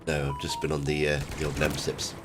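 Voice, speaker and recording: A man's voice: a bass voice, monotone, fairly quick, loud music behind the voice. A middle-aged man chatting casually and a little hesitantly as he hosts a game stream. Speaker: game streamer hosting a multiplayer chat. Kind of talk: casual chat while gaming. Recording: loud gunfire and game noise behind.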